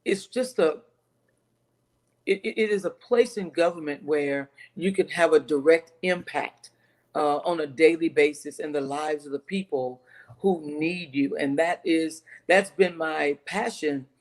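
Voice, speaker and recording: Middle-aged woman with a low-pitched voice. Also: a little slow, a little mellow. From Texas, United States. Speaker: interview guest, city council candidate. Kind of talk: conversational interview answers. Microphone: video call.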